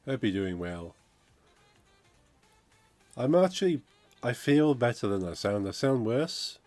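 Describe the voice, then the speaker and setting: A man, croaky from a cold, chatting casually on a game dev stream and reading out chat. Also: medium pitch, sing-song, slow pace, darkish tone, no echo. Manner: rambling, chatty, reads out chat messages. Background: funky music playing.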